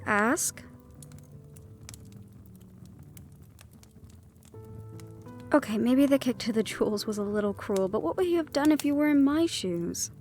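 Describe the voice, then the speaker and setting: Defiant shadow thief, young woman, sardonic and teasing, fairly high-pitched, played by a woman, light music underneath. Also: close to an everyday voice. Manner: teasing and sardonic, dropping to soft whispers. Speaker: voice actor in an audio roleplay. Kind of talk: audio roleplay acting.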